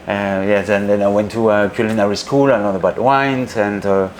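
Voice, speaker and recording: A man's voice: lowish voice, steady tone, moderate pace, slight background noise. A man with a French accent chatting as an interview guest, telling anecdotes in a hesitant, self-deprecating way. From northeast France. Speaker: guest, wine educator.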